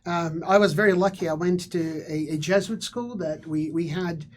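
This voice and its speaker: A man's voice: a little high, normal speed. A man whose first language is Italian, a guest academic in casual conversation, talking thoughtfully with many repeated words and fillers. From Brazil.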